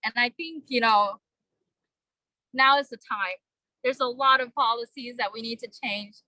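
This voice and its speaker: A young woman from Vietnam giving a halting, earnest personal speech at a vigil, with many fillers. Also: a little high, pushed and bright, dry recording, low-quality recording. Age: young adult. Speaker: vigil speaker.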